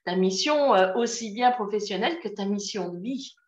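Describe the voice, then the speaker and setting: A woman hosting a podcast interview, effusive and warm toward her guest, laughing often over a video call. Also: medium pitch, normal speed. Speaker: podcast host. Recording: echoey, muffled lo-fi call audio.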